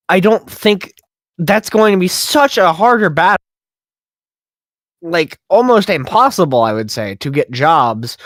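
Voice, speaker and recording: A man's voice: on the high side, a bit bright, no echo. A man voice actor giving thoughtful, hedged opinions in a casual podcast chat. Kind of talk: casual group chat on a call.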